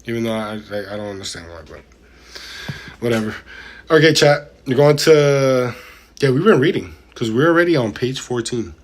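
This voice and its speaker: A man's voice: mid-range voice, lively intonation, moderate pace. A man from the Dominican Republic livestreaming, reading aloud and chatting casually with viewers in an informal, slangy way. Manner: slangy, informal asides to chat. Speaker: live streamer.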